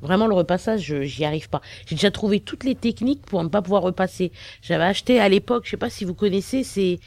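A woman's voice: mid-range voice, quick pace, dry recording. A woman telling a personal anecdote in a casual interview, animatedly quoting what was said. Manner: animated, quotes herself and others.

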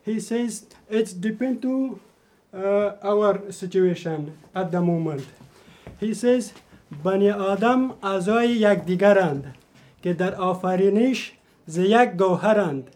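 High-voiced man, halting English. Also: a little mellow. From Afghanistan. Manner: hesitant, many fillers and restarts. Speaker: artist presenting an installation. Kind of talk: halting presentation in broken English.

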